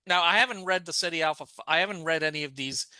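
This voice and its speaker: A man's voice: on the high side, fast, bright, forceful tone, smooth, dry recording. A man co-hosting a podcast, chatting casually and rambling through thoughts with many restarts and asides. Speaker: podcast co-host. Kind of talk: casual discussion.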